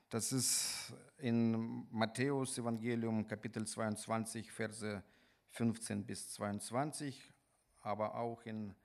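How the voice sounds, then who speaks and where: A man from Ukraine with a Ukrainian accent, preaching in a halting, deliberate manner with pauses mid-sentence. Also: mid-range voice. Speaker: guest preacher. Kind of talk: sermon with scripture reading.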